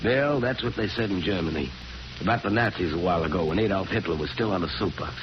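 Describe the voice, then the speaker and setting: Actor playing an earnest low-voiced priest. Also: male voice, fast, close to an everyday voice. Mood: urgent warning. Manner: grave, direct, insistent. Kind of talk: 1940s radio drama acting. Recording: muffled, very noisy 1940s radio broadcast.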